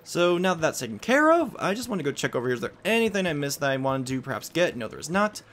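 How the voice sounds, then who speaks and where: A man giving upbeat, animated gameplay commentary as the host of a Let's Play. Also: fairly high pitch, quick pace. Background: game music.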